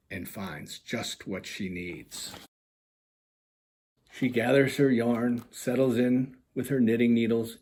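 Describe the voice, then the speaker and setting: A man, an author reading his children's picture book aloud in a husky voice. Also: medium pitch, a little mellow. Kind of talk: children's storytime narration.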